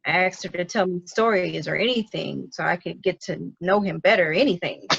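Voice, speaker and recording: A woman's voice: on the low side, moderate pace, gravelly, fairly bright tone, slight background noise. A woman acting a hurt young wife, muffled sound. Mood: wistful. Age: young adult. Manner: emotional, complaining. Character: hurt, exasperated young wife longing for romance. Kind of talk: dramatic table read.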